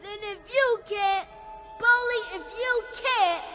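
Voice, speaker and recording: A woman's voice: very high voice, takes it slowly, music in the background. A woman playing an earnest, pleading young boy in a radio drama, with simple childlike speech. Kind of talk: dramatic radio play acting.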